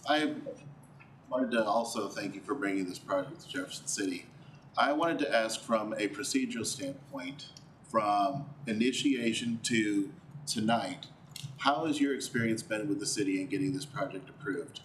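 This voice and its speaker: Low-voiced man in a roomy chamber. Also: moderate pace, fairly bright tone, a little hiss or hum. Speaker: council member.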